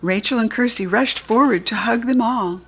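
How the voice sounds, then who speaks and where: A woman's voice: a little high, moderate pace, slight background noise. Woman reading a children's storybook aloud. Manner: clear and expressive. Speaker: storybook reader.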